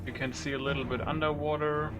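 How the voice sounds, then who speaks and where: A man's voice: a little high, level tone, fast, music in the background. A man giving casual, unscripted gameplay commentary, thinking aloud in an informal way with some mild swearing. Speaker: gaming video creator.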